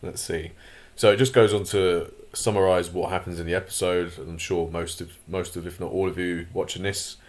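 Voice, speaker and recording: Croaky low-voiced man rambling. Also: fairly even intonation, moderate pace, hardly any pauses. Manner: rambling, many fillers and restarts; opinionated, grumbling asides. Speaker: channel host. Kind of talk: casual commentary vlog.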